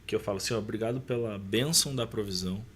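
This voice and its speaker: A man's voice: lowish voice, fast talker, a bit bright, slight background noise. A man with a Brazilian Portuguese accent speaking as a podcast guest, colloquial and emphatic, explaining with examples in a preacher-like way. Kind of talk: casual conversation with preaching tone.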